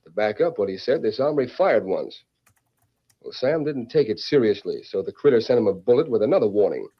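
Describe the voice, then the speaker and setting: A man's voice: lowish voice, animated, a little fast, breathy edge. A man playing a plainspoken frontier rancher with folksy western phrasing in an old-time radio drama. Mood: puzzled. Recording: muffled old radio recording.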